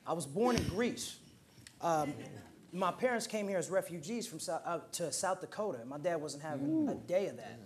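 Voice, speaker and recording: A man's voice: high voice, big pitch swings, moderate pace. A man in his twenties with an American accent, telling personal stories casually at a roundtable discussion. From Oakland, California, USA. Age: 20s. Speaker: roundtable participant. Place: indoor roundtable discussion at a museum.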